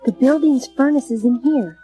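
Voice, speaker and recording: Woman acting high-voiced, anxious young heroine. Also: normal speed, music in the background, lightly acted. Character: alarmed, questioning young woman, plucky girlfriend. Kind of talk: read-along storybook drama acting.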